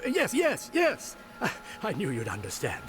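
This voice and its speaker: Dithering scholar for a game: high voice, wildly swooping delivery, stammering self-corrections. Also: male voice, huge pitch swings, moderate pace, a bit bright, slight background noise, heavily acted. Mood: flustered. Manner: dithering, stammering, muddles words and corrects himself. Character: flustered, scatterbrained scholarly man muddling his words. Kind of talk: video game character acting.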